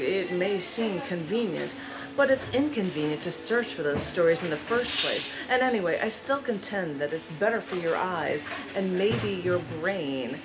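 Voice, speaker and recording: A woman giving a live spoken-word poetry reading in a bookstore, phrasing dramatically and deliberately with drawn-out emphasis. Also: fairly low pitch, low-quality recording. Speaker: poet reading own work.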